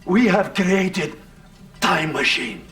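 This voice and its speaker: A man's voice: sits high, lively intonation, takes it slowly, fairly bright tone, full character voice. A man acting as a frantic defecting Soviet scientist in a video game, pleading urgently. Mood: urgent confession.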